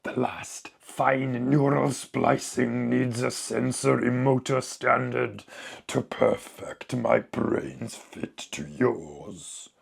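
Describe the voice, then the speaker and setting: A man voicing a sinister alien parasite inside a corpse, in a hoarse, whispery croak with clipped, halting phrases, for a horror story reading. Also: mid-range voice, heavily acted. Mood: clinical, sinister. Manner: halting, clipped telegraphic speech, savouring words. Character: alien parasite speaking through a corpse, sinister, coldly gloating.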